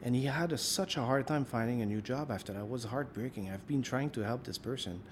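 A man's voice: medium pitch, quick pace. A male interviewer with a mid-range voice talks in loose, winding sentences. He fills his questions with "like" as he works out what he means. Faint background noise sits under him. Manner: rambling, thinks aloud with many "like"s. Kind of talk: casual conversational interview.

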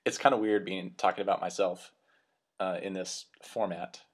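West texas guy, 30s, very low voice, reflective and a bit self-conscious, podcast into his computer. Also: steady tone, moderate pace. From West Texas Panhandle, US. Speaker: minister and podcast host. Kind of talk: solo podcast monologue. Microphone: computer microphone.

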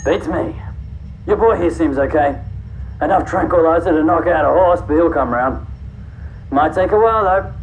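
Man playing a high-pitched, offhand sci-fi guard. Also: moderate pace, long pauses, muffled recording, slight background noise, natural voice. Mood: offhand, matter-of-fact. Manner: offhand, slangy, commanding. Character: brusque, casual sci-fi guard, world-weary male. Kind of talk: audio drama acting.

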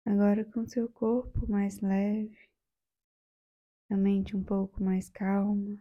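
A woman with a Brazilian accent leading a guided meditation in a soft, soothing, gentle way, leaving long pauses. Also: medium pitch, little pitch movement, muffled recording.

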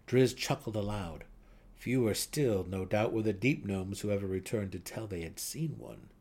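A man's voice: fairly low pitch, moderate pace, darkish tone. A man reading a fantasy novel aloud as a dramatic narrator. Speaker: audiobook narrator.

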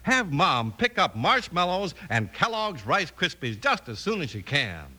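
An American man reading a folksy 1950s radio commercial as a pitchman. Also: sing-song, unhurried, bright, forceful tone, faint music behind. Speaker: radio advertiser.

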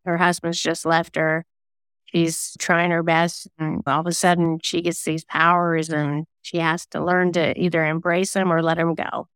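A woman's voice: low-pitched, flat delivery, normal speed, a bit bright, smooth, no room sound. A woman talking casually as an interview guest, chatty and self-effacing. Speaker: interview guest, singer-songwriter and novelist.

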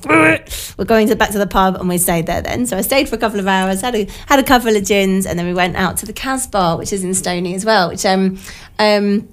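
Radio host: British woman in middle age, informal and chatty, self-deprecating, voice croaky and bunged up with a cold. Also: medium pitch, brisk. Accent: British English. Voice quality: croaky, slightly nasal from a blocked nose. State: has a cold. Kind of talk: casual chatty radio monologue. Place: radio studio.

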